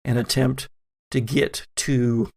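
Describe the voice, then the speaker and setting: A man narrating a tabletop horror game as game master, deliberate and suspenseful, with frequent dramatic pauses. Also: medium pitch, little pitch movement, gravelly, a little mellow.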